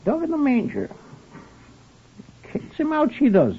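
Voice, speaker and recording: A man's voice: very high-pitched, wildly swooping pitch, unhurried, full character voice. A man playing a simple-minded, talkative farm handyman with a rural English accent in an old radio drama. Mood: aggrieved, gossipy. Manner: rambling, dialect grammar, loose-tongued. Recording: muffled, band-limited vintage radio recording.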